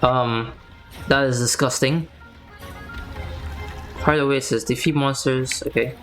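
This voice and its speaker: Very low-voiced woman streaming a game. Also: steady tone, slow. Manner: offhand, bemused, mildly sweary. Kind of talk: casual gameplay commentary. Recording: game music behind the voice. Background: video game music and effects.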